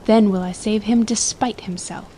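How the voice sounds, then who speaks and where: A woman's voice: mid-range voice, fairly even intonation, moderate pace, dark tone, slight background noise, natural voice. A woman playing a proud, defiant young noblewoman in a dramatic audiobook, speaking with formal, impassioned dignity. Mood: firm, resolute. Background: ambience under the voice.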